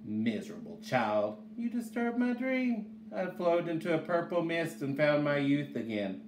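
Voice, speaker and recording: A man's voice: fairly high pitch, big pitch swings, unhurried. A grumpy old storybook man as a male reader plays him, drowsy and wistful, grumbling as if pulled from sleep. The sound is roomy and echoey with a little noise. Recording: echoey room, faint background noise.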